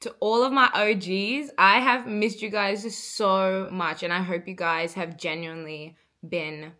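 Young aussie woman chatting to her camera in her living room, bright clear voice, bubbly, laughs a lot. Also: medium pitch, fairly even intonation, unhurried. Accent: Australian. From Australia. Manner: bubbly, laughs often, earnest. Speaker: vlogger. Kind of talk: casual talk to camera.

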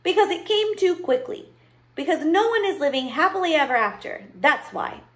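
Woman as an eager, high-pitched storybook little girl. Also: big pitch swings, normal speed, fairly bright tone. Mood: protesting. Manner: insistent, protesting. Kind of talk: storybook character voice.

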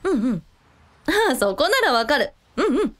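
Radio drama: wry, expressive young woman, played by an actress, high-pitched, very animated delivery. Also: normal speed, background noise. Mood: flustered. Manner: expressive, muttering to herself. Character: lively, wry young woman, an eldest sister. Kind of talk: Japanese radio drama acting.

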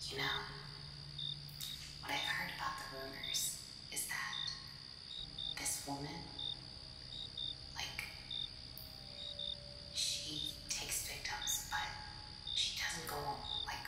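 A woman performing an ASMR roleplay as a bold, teasing companion exploring a haunted house, speaking softly and breathily, often close to a whisper. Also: rumbling low, wildly swooping pitch, takes it slowly, long pauses, loud music behind the voice. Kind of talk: ASMR roleplay, soft-spoken improvised dialogue. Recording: very echoey hall reverb, faint noise.

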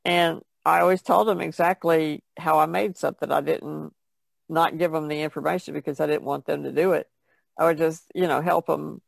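A woman with a heavily gravelly, fry-laden voice, recorded dry. She talks casually as a guest, in a plain, matter-of-fact way. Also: deep voice, fairly even intonation, normal speed, no room sound. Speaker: artist, podcast guest. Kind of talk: casual chat. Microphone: online call.

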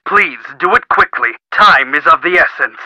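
Curt middle-aged company boss in a game, high pitched, very forceful, pompous and demanding. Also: male voice, lively intonation, normal speed, no echo, highs cut off. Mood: urgent. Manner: formal, demanding, clipped. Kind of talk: video game voice acting.

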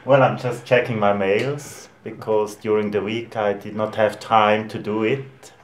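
A man in his forties, a nursery production manager, answering questions in relaxed in an office. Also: fairly low pitch, measured pace. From Switzerland. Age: 40s. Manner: relaxed, easygoing replies starting with well. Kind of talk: casual interview answers. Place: indoor office at a desk. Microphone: camera mic a few meters away.

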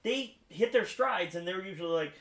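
A man's voice: high-pitched, lively intonation, normal speed. A man co-hosting a gaming talk show, talking in a casual, conversational way with friends. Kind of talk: casual chat about video games. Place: small room lined with display cases, indoors. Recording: roomy echo.

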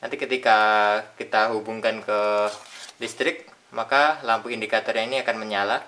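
A young man calmly explaining a tool step by step in an unboxing demonstration. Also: on the low side, a little hiss or hum. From Indonesia. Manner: plain step-by-step explaining with pauses. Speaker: product reviewer. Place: indoors at a tabletop.

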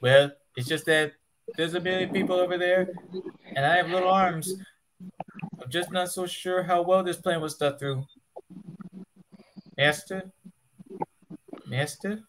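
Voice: medium pitch, unhurried, pauses often, noisy background, close to an everyday voice. A performer voicing a timid, obedient cartoon creature minion with a hesitant, deferential manner. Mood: doubtful, meek. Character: obedient, timid mind-controlled minion creature with little arms.

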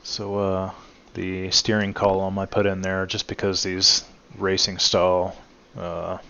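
Male host, deep and even delivery, relaxed filler-heavy garage walkaround, dull phone-recorded sound. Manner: relaxed, many "uh" fillers, addresses viewers as guys. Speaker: channel host showing his truck restoration. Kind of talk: casual walkaround narration. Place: home garage.

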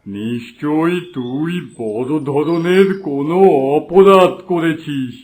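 A man's voice: fairly high pitch, takes it slowly, darkish tone. A man voicing a stern holy man in a cartoon moral tale, who admonishes and guides. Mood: stern, questioning. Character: stern male holy man, scolding yet guiding.

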